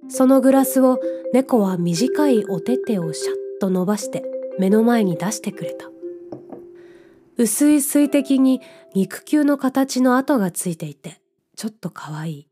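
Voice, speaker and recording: A gentle young narrator of an audio drama, voiced by a woman. The tone is rounded and mellow, the telling soft and reflective, with quiet music underneath. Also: a little high, lively intonation, a little slow. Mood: reflective, tender. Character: gentle, dreamy young narrator visited by a cat. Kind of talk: scripted radio drama narration.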